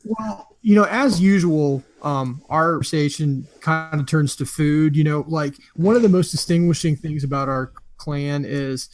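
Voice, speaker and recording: A man's voice: a little high, unhurried, few pauses, a little mellow, soft background music, rough recording. A man hosting a casual podcast, telling a long story with many fillers and easy laughs. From Florida. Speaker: podcast host.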